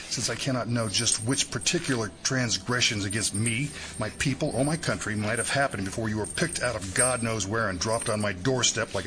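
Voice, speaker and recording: An actor playing a stern, bitter, middle-aged FBI director with a formal, forceful way of speaking, in an audio drama. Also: male voice, fairly low pitch, noisy background, natural voice.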